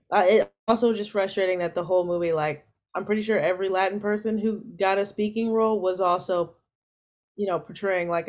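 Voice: mid-range voice, darkish tone. A guest talks casually and bluntly about a film. The tone is frank and direct, with wry throwaway lines. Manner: blunt and frank, dry asides. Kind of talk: casual conversation.

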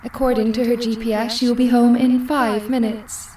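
A woman's voice: fairly high pitch, level tone, a little mellow, echoey room, slight background noise, natural voice. Woman playing a formal female home AI, distant. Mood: polite, measured. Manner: formal, precise, measured. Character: female home AI, orderly, prim, controlling. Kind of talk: audio drama acting.

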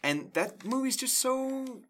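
A man's voice: extremely high pitch, expressive, slow pace, a bit bright, a little hiss or hum. A man co-hosting a podcast, chatting casually and enthusiastically, rambling with lots of fillers. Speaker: podcast co-host. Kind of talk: casual chat.

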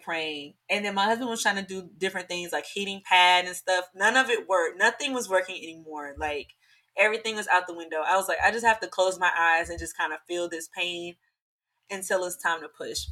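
Bright-voiced young woman, chatty storyteller. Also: fairly low pitch, a little fast. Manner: chatty, animated, many fillers. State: slightly hoarse. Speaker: podcast guest. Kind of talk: casual storytelling.

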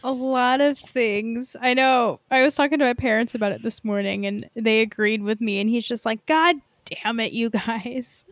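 High-pitched young woman, rambling casually. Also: steady tone, moderate pace, few pauses, dry recording. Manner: rambling, peppered with "like". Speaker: podcast co-host. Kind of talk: casual film review chat.

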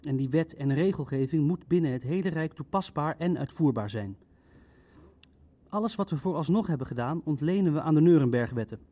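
A male Nazi bureaucrat in an audio drama, with a slightly high voice. He is formal and legalistic, laying out rules precisely and pushing back with careful objections. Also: animated, brisk, warm tone. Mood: firm, explanatory. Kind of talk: Dutch audio drama acting, naturalistic meeting dialogue.

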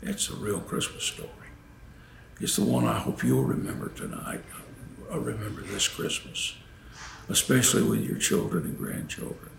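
Gravelly, low-voiced older storytelling man. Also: big pitch swings. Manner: folksy, dramatic pauses and emphasis. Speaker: storyteller and preacher. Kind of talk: devotional holiday storytelling to an audience. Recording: roomy echo, faint background noise.

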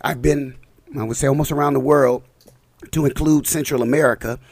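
A man's voice: medium pitch, fairly even intonation, a little fast, dry recording. Man with a slight cold on a dry mic. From Los Angeles. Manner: rambling with restarts and fillers. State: slight cold, a bit scratchy. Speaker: community council president, guest. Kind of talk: casual interview talk.

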